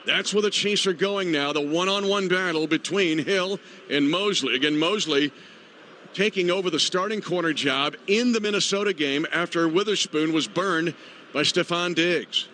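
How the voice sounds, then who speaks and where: High-voiced man calling football over stadium noise. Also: normal speed. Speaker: play-by-play radio announcer. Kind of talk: live football play-by-play commentary. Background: loud stadium ambience.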